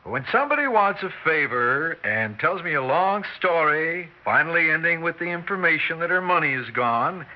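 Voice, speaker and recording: Casting: male actor as wary man-about-town, radio drama, brusque, lightly high voice, muffled broadcast. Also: animated, moderate pace, hardly any pauses, natural voice. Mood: suspicious. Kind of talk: 1960s radio drama acting.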